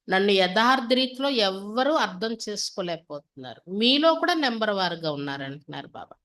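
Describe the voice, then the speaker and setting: Female spiritual teacher, mid-pitched voice. Reads scripture and explains it step by step, repeating and questioning. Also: fairly bright tone. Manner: instructive, repeats and paraphrases, with rhetorical questions. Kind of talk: religious discourse, reading aloud then translating and explaining.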